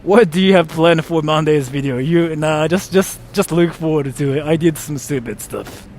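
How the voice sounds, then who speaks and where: A man's voice: a little high, normal speed, darkish tone, a little hiss or hum. A young American man on a casual live stream, joking about himself and thanking viewers for donations. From United States. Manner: joking, self-mocking, reads chat aloud.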